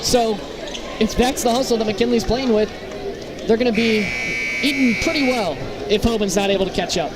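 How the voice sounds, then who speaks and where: A man's voice: high voice, moderate pace. A man doing live basketball play-by-play as the announcer, in short, clipped calls. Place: press booth at an indoor basketball game. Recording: very loud crowd and gym noise. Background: loud crowd, bouncing basketballs.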